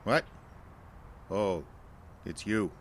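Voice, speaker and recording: Gruff, low-voiced, bitter ex-soldier. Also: male voice, drawn-out words, slight background noise. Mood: curt, irritated. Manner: gruff, folksy, dismissive. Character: stubborn, bitter male ex-soldier, sarcastic and argumentative. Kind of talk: audio drama acting.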